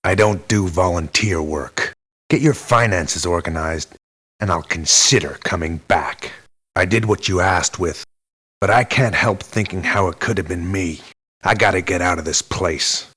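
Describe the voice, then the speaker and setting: An actor playing a jaded, tough mercenary for hire in a video game, blunt and sardonic in delivery. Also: male voice, low-pitched, a little slow, a bit bright, slightly rough, exaggerated voice. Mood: resigned, blunt. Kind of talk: scripted video game voice lines.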